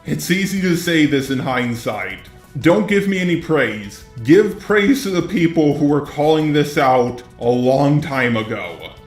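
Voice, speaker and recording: A man's voice: fairly high pitch, lively intonation, a little slow, reverberant, faint music behind. A man delivering opinionated drama commentary in a blunt, profane, indignant way. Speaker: commentary YouTuber, host.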